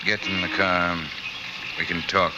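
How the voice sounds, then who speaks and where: Deep menacing American gangster. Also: male voice, little pitch movement, unhurried. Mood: cool, threatening. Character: menacing, cool male gangster. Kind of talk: 1940s radio drama acting. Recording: noisy old radio recording.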